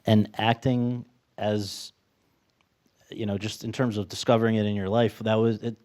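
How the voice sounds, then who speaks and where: A man's voice: fairly low pitch, flat delivery, normal speed, darkish tone. A man interviewing a guest, asking questions in an upbeat, encouraging way.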